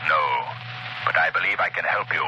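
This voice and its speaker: Low, ominous unseen crime fighter. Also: male voice, steady tone, brisk, bright, forceful tone, lo-fi sound, exaggerated voice. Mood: ominous, commanding. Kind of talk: 1930s radio acting. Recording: noisy old 1930s radio broadcast.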